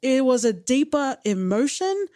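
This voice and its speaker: A woman's voice: sits high, very animated, measured pace, mellow, dry recording, studio quality. A woman co-hosting a podcast, talking earnestly and openly about her feelings with some wry humor. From Australia. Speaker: podcast co-host. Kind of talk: reflective casual chat.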